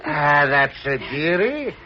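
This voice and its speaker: High, drawling, roguish deserter. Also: male voice, big pitch swings, slow pace. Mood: flirtatious. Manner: wheedling, drawling. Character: roguish, flirtatious army deserter in colonial America. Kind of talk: 1950s radio drama acting. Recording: dull vintage radio recording, faint noise.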